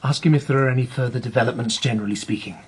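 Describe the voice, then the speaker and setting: A man's voice: mid-range voice, rapid-fire, slightly rough, natural voice. A man playing a bossy, officious chief inspector in a radio drama. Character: bossy male chief inspector prompting from nearby.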